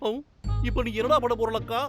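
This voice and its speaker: Scheming, excitable cartoon guide: high voice, wheedling and flustered, music behind. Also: male voice, brisk. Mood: anxious. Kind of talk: dubbed children's cartoon acting.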